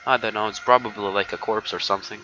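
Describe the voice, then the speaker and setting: A man with a fairly deep voice reads a visual novel aloud on stream. He goes haltingly, with offhand asides. The sound is muffled and has some noise in it. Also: steady tone, sharp tone. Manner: halting reading broken by muttered asides. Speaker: live streamer. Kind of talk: reading a visual novel aloud with casual reactions. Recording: dull lo-fi mic with noise.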